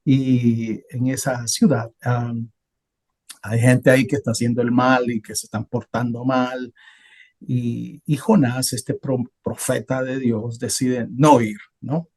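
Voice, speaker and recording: Honduran male co-host, husky voice, relaxed, loose conversational delivery. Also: medium pitch, slow. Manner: relaxed, thinking aloud with many hesitations. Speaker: podcast co-host. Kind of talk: casual conversational talk about Bible passages.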